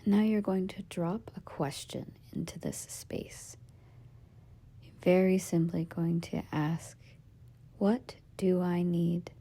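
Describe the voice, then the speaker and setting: Female meditation guide, husky half-whisper with creaky edges, slow and soothing. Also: fairly low pitch, fairly even intonation. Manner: gentle, soothing, measured instructions in short phrases with pauses. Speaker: yoga nidra meditation teacher.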